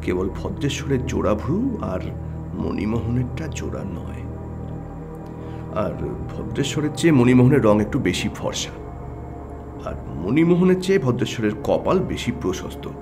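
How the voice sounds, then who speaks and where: An actor playing a keen, brooding and excitable private detective in an audio drama, with a probing, emphatic delivery. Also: male voice, mid-range voice, normal speed, creaky, pauses often, music in the background. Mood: musing.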